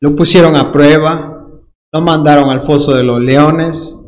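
A man with a Dominican accent preaches a sermon at an unhurried, deliberate pace, leaning hard on key words and stretching them out. His voice sits high for a man, and he slips into playful mimicry of everyday excuses. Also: fairly even intonation. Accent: Dominican Spanish. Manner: emphatic, drawn-out stresses on key words.

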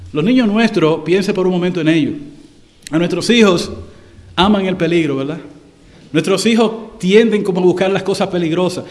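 A man preaching a sermon to his congregation, swelling louder and slowing down for emphasis. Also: sits high. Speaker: pastor.

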